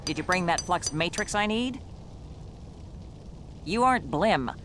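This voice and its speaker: An actress playing a crotchety, proud old female gnome-like inventor in a fantasy video game, gruff and scoffing. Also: fairly low pitch, lively intonation, unhurried, fairly bright tone, a little hiss or hum. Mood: sour, suspicious. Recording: faint music underneath.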